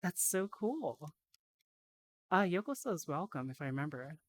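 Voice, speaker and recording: A woman live-streaming in a VR headset, chatting casually and reading out phrases. She sounds tired and a little hoarse, like she is losing her voice. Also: low voice, big pitch swings, no room sound. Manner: chatty, reads aloud slowly, laughs easily. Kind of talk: casual live-stream chat while learning Japanese. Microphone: VR headset mic.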